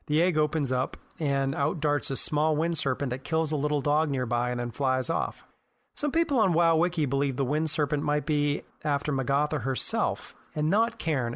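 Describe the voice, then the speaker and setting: A man with a voice a little higher than most presents scripted commentary on game lore. He lays it out in an explanatory podcast style. The recording is dry and free of room echo. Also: moderate pace, a bit bright.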